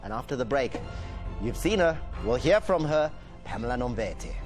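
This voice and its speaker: A man's voice: mid-range voice, very animated, normal speed, music in the background. A man hosting a TV show, interviewing casually in a playful, exclamatory manner. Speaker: TV show host.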